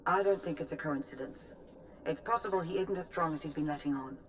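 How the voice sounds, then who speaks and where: A woman playing a cool, calculating intelligence chief in a video game cutscene, measured, clipped and dry. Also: sits low, slight background noise, close to an everyday voice. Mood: grave briefing.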